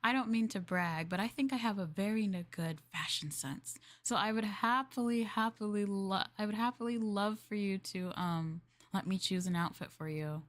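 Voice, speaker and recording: A woman voicing a polite, eager young maid in an improvised roleplay ASMR stream, speaking softly and a little hesitantly to her master. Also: mid-range voice, normal speed, hardly any pauses, natural voice. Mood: cheerful, eager. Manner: soft, polite, hesitant, apologetic, eager to please. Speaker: VTuber streamer playing a maid for live chat.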